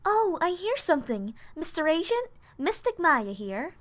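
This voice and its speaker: A woman playing a bubbly, excitable young female sidekick with a chirpy, bouncy manner in an audio drama. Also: extremely high pitch, measured pace, heavily acted. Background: music.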